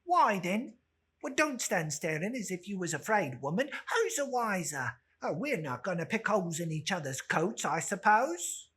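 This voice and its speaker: A woman's voice: mid-range voice, very animated, moderate pace, fairly bright tone, breathy edge. A woman voicing a brazen, defiant working-class charwoman with a scolding manner in a dramatic storybook reading.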